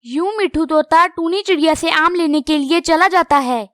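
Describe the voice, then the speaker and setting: Very high-pitched woman narrating. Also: normal speed, fairly bright tone, no echo, studio quality. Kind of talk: scripted children's story narration.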